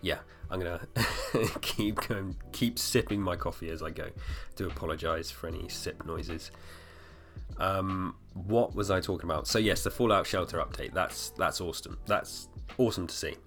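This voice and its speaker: A man in his thirties hosting a podcast alone, slightly hoarse, rambling with fillers and restarts. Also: low-pitched, moderate pace, fairly bright tone, faint music behind. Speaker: podcast host. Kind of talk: casual solo podcast talk.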